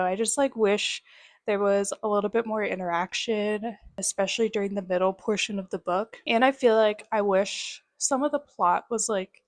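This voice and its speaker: Young woman, book vlogger, casual rambling chat, filler-heavy, mid pitch, dry sound. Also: no room sound. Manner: chatty, rambling, many fillers.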